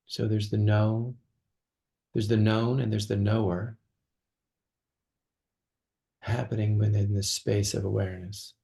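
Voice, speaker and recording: Guy doing guided meditation, kinda deep voice w lots of vocal fry, gentle, leaves long pauses. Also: flat delivery. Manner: slow, gentle delivery with long pauses between phrases. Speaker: meditation teacher.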